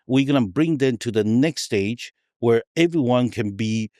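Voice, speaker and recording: A man's voice: mid-range voice, expressive, unhurried, darkish tone, dry recording, clean studio sound. A middle-aged man from Taiwan, explaining things emphatically as a guest in a conversational podcast interview, often checking that he is followed. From Taiwan, raised partly in Argentina. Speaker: interview guest, tech entrepreneur.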